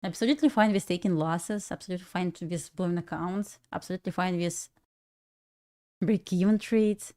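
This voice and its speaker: A woman's voice: lowish voice, darkish tone. Young belarusian woman w/ russian accent, chatty livestream host, laughs a lot, stop-start talk. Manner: chatty, laughs often, restarts and halting phrasing. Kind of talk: casual livestream chat.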